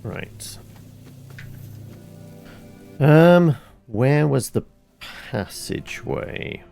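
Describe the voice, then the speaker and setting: Male gaming host, casual and wry, mid pitch with heavy vocal fry, slow hesitant delivery, faint music underneath. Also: animated, dark tone. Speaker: gaming video host and player. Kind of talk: casual gameplay commentary.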